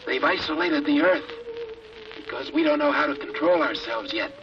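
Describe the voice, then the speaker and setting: Man acting as desperate test pilot, vintage radio drama, highish voice, intense delivery, dull hissy old recording. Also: lightly acted. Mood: dazed, awed. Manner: urgent, intense, halting when entranced. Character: desperate, haunted male rocket test pilot raving under hypnosis.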